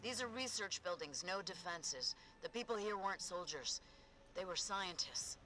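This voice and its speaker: A woman's voice: on the low side, expressive, normal speed, sharp tone, slight background noise. An actress playing a sober, observant female armored super-soldier in a video game, speaking tersely and seriously. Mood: sober, wary. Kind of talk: video game dialogue acting.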